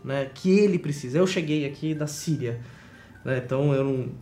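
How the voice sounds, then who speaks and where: A man's voice: medium pitch, moderate pace, dark tone, soft background music. A man hosting an interview, asking long, rambling questions. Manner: asks long rambling questions, frequent tag "né".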